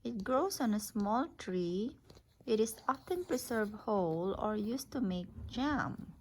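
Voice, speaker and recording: A woman's voice: mid-range voice, lively intonation, slow pace, a little hiss or hum. A woman reading an alphabet picture book aloud as a mother to a child, naming words one by one in an instructive way with casual asides.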